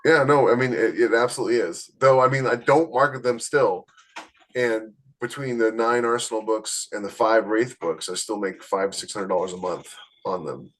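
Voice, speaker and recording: A man co-hosting a podcast in casual conversation, chatty, with quick interjections and emphatic repeats. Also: fairly low pitch, fairly bright tone. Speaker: author and podcast co-host.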